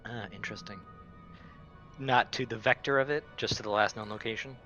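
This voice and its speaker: A man's voice: fairly low pitch, fairly even intonation, a little fast, sharp tone, dull audio. A man playing a tabletop roleplaying game in casual chat, blunt and bossy, swearing freely. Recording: music under the voice.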